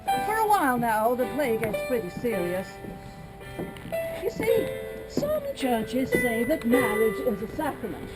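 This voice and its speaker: A woman's voice: fairly high pitch, big pitch swings, measured pace, poor audio. A woman playing a folksy stage manager who talks to the audience and stands in as a minister. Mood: wry. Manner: chatty, wry aside to audience. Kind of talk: stage play performance. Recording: loud music under voice.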